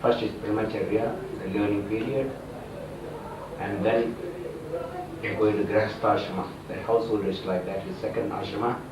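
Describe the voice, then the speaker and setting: Echoey, distant Indian swami preaching. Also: male voice, fairly low pitch, steady tone, crowd noise. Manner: didactic, halting phrasing with simple explanations. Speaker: swami, spiritual teacher officiating a wedding. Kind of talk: religious discourse at a ceremony. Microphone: far from the speaker. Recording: very echoey room, distant mic, noisy.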